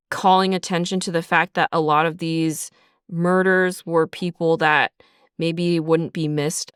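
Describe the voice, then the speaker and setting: A woman with a fairly low, smooth voice talks in a casual interview. She explains things reflectively, hedging as she thinks aloud. The sound is close and dry, with no room around her. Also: fairly bright tone, no echo. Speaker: audio drama writer and creator being interviewed. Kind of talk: casual conversational interview answers.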